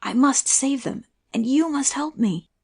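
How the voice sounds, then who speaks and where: A woman playing a desperate, worn-out hunted soldier, pleading urgently in a husky, half-whispered voice in an audiobook play. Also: sits high, expressive, unhurried, darkish tone, heavily acted. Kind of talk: amateur audiobook play acting.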